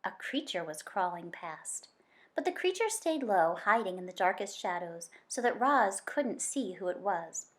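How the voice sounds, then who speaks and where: A woman reading a children's book aloud as an expressive story narrator. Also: medium pitch, unhurried. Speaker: story reader.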